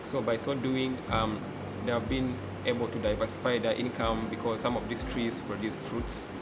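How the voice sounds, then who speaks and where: Young man, Ugandan accent, earnest, hesitant pauses, loud air-conditioning hum. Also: mid-range voice, level tone. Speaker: forest educator and delegate. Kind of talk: interview testimony.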